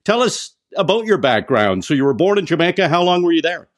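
A man hosting a sports radio interview in a conversational way, pausing now and then as he frames his questions. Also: fairly high pitch, big pitch swings. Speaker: sports show host, interviewer.

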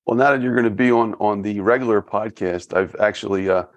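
A man with a Philadelphia accent hosting a podcast interview, talking in a halting way, often repeating his words and laughing. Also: fairly low pitch, no echo. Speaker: podcast host. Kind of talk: casual interview.

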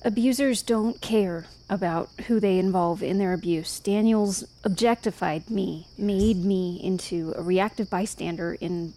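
An actress as a troubled, apologetic woman in an audio drama, with a medium-pitched voice. She speaks hesitantly and naturally, as if weighed down by guilt. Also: unhurried, few pauses, mellow, natural voice, rough recording. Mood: guilty, troubled. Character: guilt-ridden, apologetic woman, a former advocate. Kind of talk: naturalistic fiction podcast acting.

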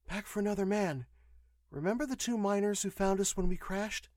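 A man voicing a firm, caring woman nurse in an audio story, explaining and gently admonishing. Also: high-pitched, brisk, dry recording, studio quality, pitched above the performer's own voice, natural voice.

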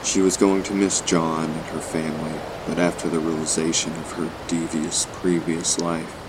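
A man narrating a scripted horror story in a measured, ominous manner. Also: a bass voice, little pitch movement, very noisy.

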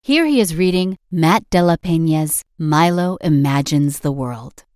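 A woman's voice: deep voice, expressive, a little slow, a little mellow, no room sound, studio quality. A woman reading scripted narration as the narrator of a podcast.

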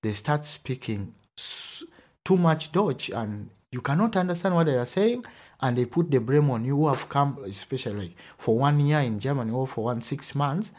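A man's voice: medium pitch, lively intonation, normal speed, darkish tone. A man from Uganda with a Ugandan accent, whose first language is Luganda, talking earnestly in a podcast conversation with frequent restarts. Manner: earnest, emphatic, with hesitant restarts.

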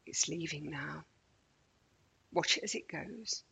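Female meditation guide for children. Soft-edged breathy tone with vocal fry, measured and soothing delivery. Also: deep voice. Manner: gentle, measured, deliberate pauses.

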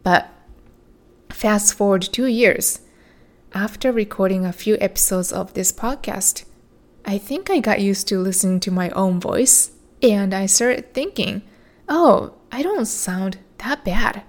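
Solo podcast host, woman, soothing and measured, slow unhurried monologue. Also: medium pitch.

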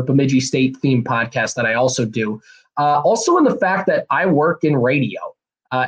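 A young man, hosting a solo podcast in a rambling, energetic way, a bit hoarse and nasal from a cold. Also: on the high side. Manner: rambling, energetic, many fillers and restarts. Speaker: podcast host. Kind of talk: casual solo podcast talk.

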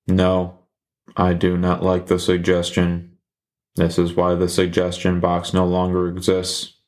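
A cold killer who speaks in a dead-level monotone, played by a man. His voice stays low and slow and never rises, delivering every threat with flat robotic calm. It is deadpan to the core. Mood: flat menace.

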